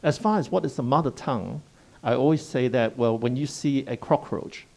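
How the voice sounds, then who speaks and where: A man's voice: medium pitch, moderate pace, vocal fry, darkish tone. A middle-aged man on a panel, a kindergarten educator explaining his points emphatically and listing them one by one. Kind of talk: panel discussion. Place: Hong Kong.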